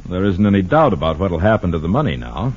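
An actor playing a cynical, gruff American sidekick in an old radio crime drama, with a wry, dismissive manner. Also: male voice, low voice, very animated, fast talker, darkish tone, a little hiss or hum. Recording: muffled 1940s-50s radio recording.

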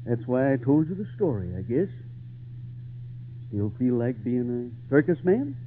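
A man's voice: mid-range voice, very animated, a little slow. A man playing a genial, folksy circus owner who tells stories in an old radio drama. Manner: avuncular, chuckling, folksy. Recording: tinny, hissy vintage radio recording.

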